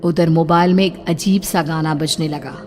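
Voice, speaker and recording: A woman's voice: deep voice, fairly even intonation, normal speed, noisy background. A woman narrator reading a scripted horror story in a dramatic style. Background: music.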